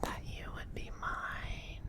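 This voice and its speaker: A man voicing a doting, possessive femboy vampire queen in a soft, breathy whisper, slow, tender and gently commanding, as in an ASMR roleplay. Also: lightly acted. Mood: tender, affectionate.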